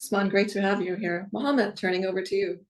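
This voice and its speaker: A woman from Pakistan hosting a webinar panel over a video call, introducing speakers in an organized, clear way. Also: mid-range voice, steady tone, fast. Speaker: webinar host and chief program officer. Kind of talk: moderating an online panel.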